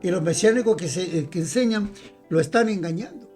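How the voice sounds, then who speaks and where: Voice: mid-range voice, a little fast, a little mellow, soft background music. A preacher from Chile, teaching the Bible informally and emphatically, speaking straight to listeners and repeating phrases. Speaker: evangelical preacher.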